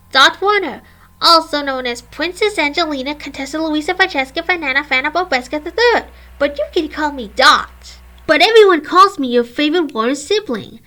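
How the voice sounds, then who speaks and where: A woman's voice: sits high, sharp tone. A woman in her twenties, a vlogger reading a scripted history and reciting long lists of names and dates. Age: 20s.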